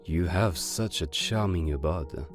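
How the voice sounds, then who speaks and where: A man playing a silver-tongued, gentlemanly con artist of living fire who sprinkles in French endearments, in a romantic audio drama. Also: low-pitched, measured pace, over music. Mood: charming, polite.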